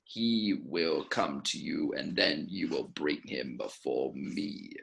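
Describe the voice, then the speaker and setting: Sinister aged ruler, deep and very slow, commanding, amateur script read. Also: male voice, a bit bright, heavily acted. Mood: cold, scheming. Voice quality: gravelly, creaky. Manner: slow, deliberate, menacing. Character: sinister, commanding old male Emperor, slow and scheming. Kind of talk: amateur table read acting. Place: remote video call from home.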